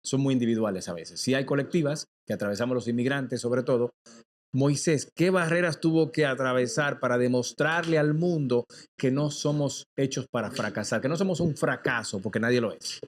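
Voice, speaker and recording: A man's voice: medium pitch, a little fast. A man from the Dominican Republic hosting an interview, asking long, reflective questions that frame the topic. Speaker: interview host.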